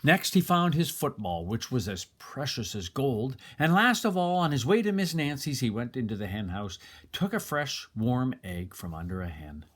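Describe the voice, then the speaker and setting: A man reading a children's story aloud in an expressive narrating style. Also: mid-range voice, normal speed, slight background noise. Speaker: storybook reader.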